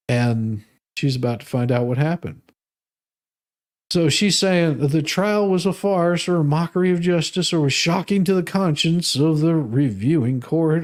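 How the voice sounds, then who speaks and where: Sardonic man with a higher-pitched voice. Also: darkish tone. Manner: sardonic, mocking. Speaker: live stream host. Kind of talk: reading documents aloud with off-the-cuff commentary.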